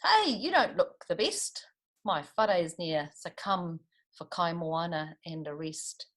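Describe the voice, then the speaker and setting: A woman's voice: on the low side, expressive, slow, a bit bright, heavily acted. A woman with a New Zealand accent voicing a hearty, hospitable male character in a children's storybook, with a casual, matey way of talking. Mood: welcoming.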